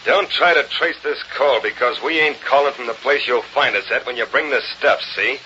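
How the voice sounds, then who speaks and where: Man acting a cocky gangster boss, forceful and bright. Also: mid-range voice, moderate pace, lightly acted. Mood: commanding, menacing. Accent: American. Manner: slangy, clipped tough-guy orders. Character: gruff, cocky male gang boss, coolly menacing. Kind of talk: 1930s-40s radio crime drama acting. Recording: dull, noisy old radio recording.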